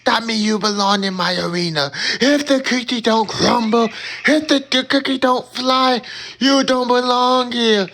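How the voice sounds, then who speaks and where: Male gamer, fry-heavy high voice, slow sing-song bragging and taunting. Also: bright, forceful tone. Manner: drawn-out dramatic chanting taunts; boastful, calls opponents noobs. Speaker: gamer commentating own match. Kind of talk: hyped trash-talk commentary.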